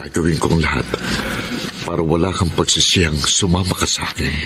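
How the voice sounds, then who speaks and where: An actor playing an earnest, devoted boyfriend in a Tagalog radio drama. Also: male voice, sits low, steady tone, normal speed, heavy background noise, natural voice. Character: Mark, devoted earnest live-in boyfriend telling his story.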